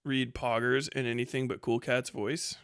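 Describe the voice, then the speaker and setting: Bright, forceful man chatting on a livestream. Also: mid-range voice, level tone, moderate pace, clean tone, no room sound, clean studio sound. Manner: profane, sardonic. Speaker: livestream host. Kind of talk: casual livestream chat, reading viewer comments.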